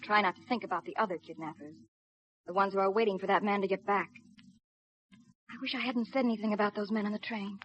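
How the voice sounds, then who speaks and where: A woman's voice: mid-range voice, steady tone, quick pace, close to an everyday voice. An actress playing an earnest, stubborn young woman caught up in a crime case, in 1950s radio drama style. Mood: subdued, reproachful. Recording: muffled vintage radio recording.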